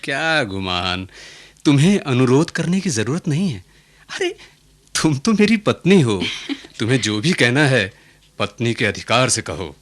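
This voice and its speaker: A man's voice: medium pitch, big pitch swings, unhurried, fairly bright tone. An actor playing an affectionate but firm middle-aged merchant, a husband and father, in a radio play. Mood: indulgent. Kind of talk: Hindi radio play acting.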